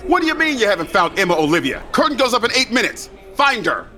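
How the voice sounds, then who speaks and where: A hot-tempered theater boss, barking orders in a highish, wildly swooping voice. He is exasperated and bossy, with music playing underneath. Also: sing-song, fast, pushed and bright, exaggerated voice. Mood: frantic. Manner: exasperated, commanding. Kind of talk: scripted comedy audio drama.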